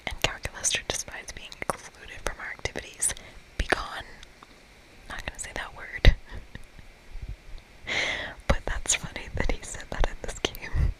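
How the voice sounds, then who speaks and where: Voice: unhurried, rough recording. Whispering ASMR game reader. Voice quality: breathy unvoiced whisper. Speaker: ASMR host reading game dialogue aloud.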